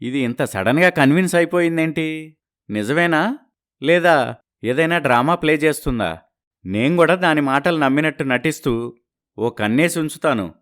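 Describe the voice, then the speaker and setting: A man's voice: a little high, animated, normal speed, long pauses, a bit bright. Scheming, smug husband, acted by a man. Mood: suspicious, guarded. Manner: gloating, calculating. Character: scheming, deceitful young husband, smug and suspicious. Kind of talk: dramatised story acting.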